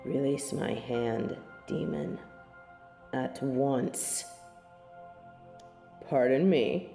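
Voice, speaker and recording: A woman's voice: extremely low pitch, very slow, creaky, reverberant, over music, exaggerated voice. A woman playing a snobby, scornful angel in a scripted audio roleplay, speaking in formal, archaic phrases with lofty disdain. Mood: cold superiority. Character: snobby, haughty female angel of judgement, proud, scornful tsundere.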